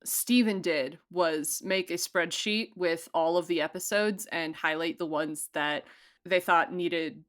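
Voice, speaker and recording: A woman producer and director talking casually about her audio drama, chatty and quick to laugh, with lots of "like" fillers. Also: lowish voice, fairly bright tone. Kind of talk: casual interview answers.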